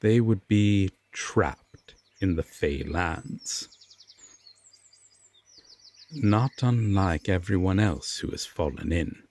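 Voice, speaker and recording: A man playing a solemn, regretful Fae king with hidden tenderness, speaking formally and with measured pauses in an audio roleplay drama. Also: low voice, expressive, darkish tone, heavily acted. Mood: solemn, grave. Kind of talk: slow audio roleplay drama addressed to the listener.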